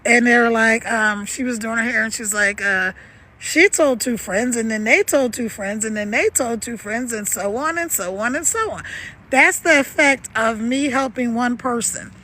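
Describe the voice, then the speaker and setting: A woman in her sixties with a gravelly voice, coaching viewers on a casual livestream while walking outdoors at night and talking into her phone. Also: on the high side, moderate pace, sharp tone. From United States. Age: 60s. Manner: upbeat and pushy, coaxing viewers. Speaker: online marketing coach. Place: outdoors on a mountain road at night.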